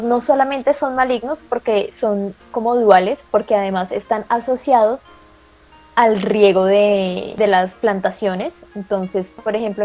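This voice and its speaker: A woman with a Colombian accent, co-hosting an anime show and presenting a segment in a lively, playful way. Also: medium pitch, lo-fi sound. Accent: Colombian Spanish. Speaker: co-host of anime talk show.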